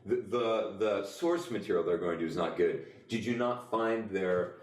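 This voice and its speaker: A man with a very low voice chats as a podcast co-host, sounding a little distant from the mic in an echoey room. He tells comic stories in a wry, wordy way, slipping into mock-formal phrasing. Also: moderate pace. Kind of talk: casual comedic podcast banter and storytelling.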